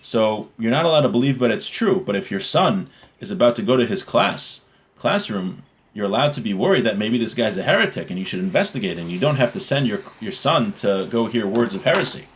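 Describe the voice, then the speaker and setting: A man's voice: on the low side, animated, a little fast. A man giving an informal religious lesson, reading from a text and explaining it in plain words, often asking questions and answering them himself.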